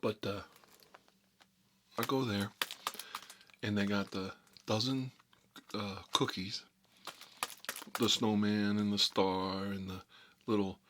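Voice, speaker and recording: A man's voice: fairly low pitch, steady tone, takes it slowly, long pauses, background noise. A man playing a chatty, boastful American mob boss who rambles and repeats himself in a casual ASMR role-play monologue. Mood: boastful, rambling. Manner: folksy, repetitive, rambling, emphatic. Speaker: ASMR storyteller playing a recurring character.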